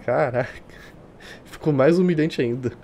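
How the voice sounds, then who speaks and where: A man with a Brazilian accent reading a visual novel aloud like a streamer, expressive and colloquial. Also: medium pitch, moderate pace, slight background noise. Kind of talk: dramatic live reading with casual asides. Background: game music.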